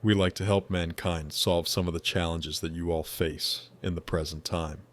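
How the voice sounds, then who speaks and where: A man gives voice to a wise angelic being from a higher dimension, a male spirit who talks in a measured, formal way. His voice sits very low. He asks his questions with gentle curiosity. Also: level tone, close to an everyday voice. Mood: calm, friendly. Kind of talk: scripted dialogue.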